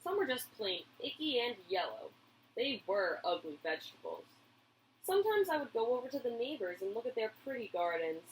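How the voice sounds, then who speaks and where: A woman narrating a children's storybook aloud in an expressive, deliberate way. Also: on the high side, slight background noise, low-quality recording. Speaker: teacher reading a picture book aloud.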